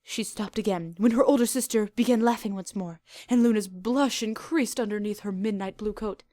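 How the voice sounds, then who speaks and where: A woman reading fan fiction aloud as an expressive scripted narration. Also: medium pitch, moderate pace, no echo.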